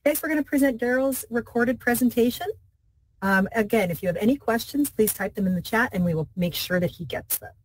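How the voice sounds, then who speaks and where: Higher-pitched woman moderating a webinar. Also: a little fast, poor audio. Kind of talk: informal hosting between presentations.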